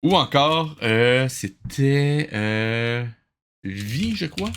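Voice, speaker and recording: A man's voice: medium pitch, animated, extremely slow, noisy background. A man hosting a livestream, giving casual reaction commentary in a sarcastic, exasperated way with some swearing. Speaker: livestream host.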